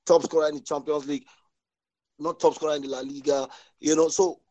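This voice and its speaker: Halting man debating football. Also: on the high side, flat delivery, dark tone. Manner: halting, restarts words, frequent agreeing "exactly". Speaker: podcast panelist. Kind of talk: casual sports debate.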